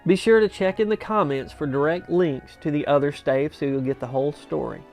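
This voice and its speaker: A man reading a classic story aloud as narrator, with expressive, theatrical and emphatic delivery. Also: a little high, brisk, faint music behind. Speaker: narrator, audiobook reader.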